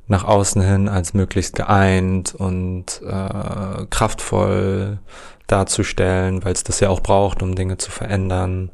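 A man's voice: low-pitched, gravelly, darkish tone. A man hosting a podcast interview, friendly and careful, choosing his words as he asks questions. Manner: friendly, careful, searching phrasing. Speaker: podcast host.

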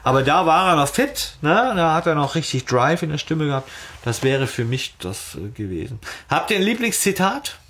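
Husky-edged man chatting casually. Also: fairly high pitch, sing-song, normal speed, a bit bright. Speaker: podcast host. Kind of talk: casual chat.

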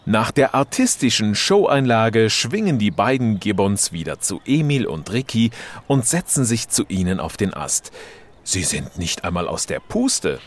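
Low, very animated male storyteller. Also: measured pace, a little hiss or hum. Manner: expressive, dramatic storytelling. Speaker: narrator. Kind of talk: scripted children's story narration.

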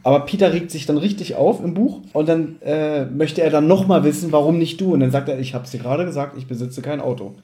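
A man co-hosts a podcast in casual conversation. His voice sits a little high, with a dark, mellow tone that keeps it relaxed as he discusses an audio drama. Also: a little fast, few pauses. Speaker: podcast co-host.

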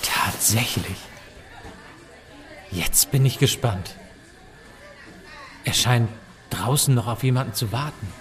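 An actor playing a courteous, purposeful professor in an amateur science fiction audio drama. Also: male voice, medium pitch, slow pace, long pauses, fairly bright tone, a faint crowd. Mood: expectant. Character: composed, courteous male English professor, purposeful. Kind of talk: hobby sci-fi audio drama acting.

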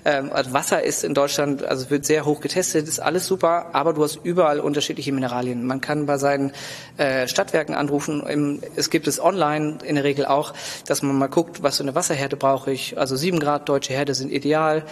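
A man's voice: on the high side, fairly even intonation, fairly quick, big-room sound, slight background noise. A man from Germany, a coffee roaster, talking as an interview guest with many hesitant fillers and enthusiasm. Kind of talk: casual interview. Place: large building site hall.

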